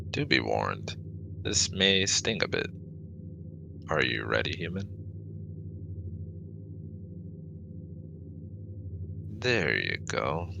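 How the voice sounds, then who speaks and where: A man's voice: very deep voice, vocal fry, noisy background, exaggerated voice. A man voicing a formal, cautious but kind male alien with precise, stiff wording in a sci-fi ASMR roleplay. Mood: gentle, formal.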